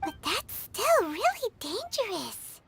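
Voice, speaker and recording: A woman voicing a tiny childlike fairy companion in a video game, squeaky, naive and curious. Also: sing-song, takes it slowly, gravelly, a bit bright, a little hiss or hum, heavily acted. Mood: puzzled, curious. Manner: naive, refers to self in third person. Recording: music behind the voice.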